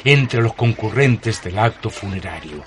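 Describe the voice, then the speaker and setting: A man with a Chilean accent narrating a scripted radio story in solemn, deliberate phrases. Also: on the low side, animated.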